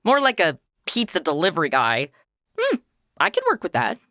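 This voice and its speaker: A woman's voice: on the low side, wildly swooping pitch, normal speed, a bit bright, no echo, studio quality. A woman with a bubbly, flirty, self-mocking manner who hosts a comedy audio drama and plays its brassy lead. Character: flirty, brassy modern woman out of her time. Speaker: podcast host and actress. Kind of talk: comedy acting and chatty outro.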